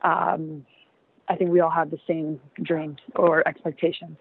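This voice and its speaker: A woman's voice: low voice, flat delivery, unhurried, creaky, slightly rough, no echo. Hesitant woman on a tinny line. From Venezuela. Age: 20s. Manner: hesitant, many ums, laughs often. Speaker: interviewee. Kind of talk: casual interview answers. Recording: tinny phone-like line, dry.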